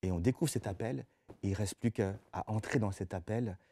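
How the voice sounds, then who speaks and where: A man's voice: on the low side, fairly quick, warm tone, a little hiss or hum. A middle-aged man from Belgium, speaking conversationally as a pastor being interviewed, often hesitating and repeating words as he explains. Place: TV studio set.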